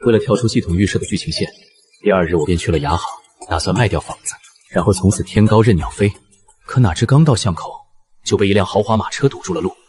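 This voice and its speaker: A man voicing a sardonic, money-minded young hero of an animated web drama, narrating and answering back in a deadpan, grumbling way. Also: on the low side, fast, close to an everyday voice. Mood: matter-of-fact. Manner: deadpan, grumbling, exasperated retorts.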